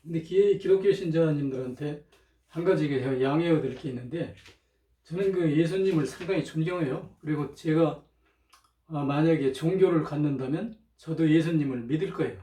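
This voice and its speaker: A man talking in an informal, rambling monologue, hesitant, often clearing his throat, with a slightly strained throat, on a cheap microphone. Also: on the high side, normal speed, mellow. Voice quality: strained throat from chronic irritation. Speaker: lecturer, channel host. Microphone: cheap, low-volume microphone. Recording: roomy, distant cheap microphone.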